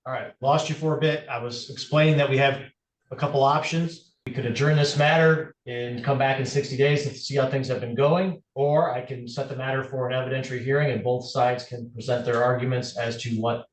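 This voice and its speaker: A man's voice: mid-range voice, steady tone, fairly quick. Middle aged guy judge doing court procedure, roomy mic a bit far off. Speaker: judge presiding over a family court hearing. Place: wood-panelled courtroom, on a video call. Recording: roomy, distant courtroom microphone on video call.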